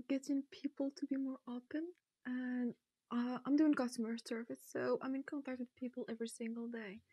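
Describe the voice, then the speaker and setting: A woman's voice: high voice, dry recording. A young woman from Morocco whose first language is Arabic, chatting in an interview with frequent laughter and playful quoting of others, on a lapel mic. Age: young adult. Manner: laughs often, animated, quotes people playfully. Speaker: interviewee, newcomer. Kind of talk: casual interview talk.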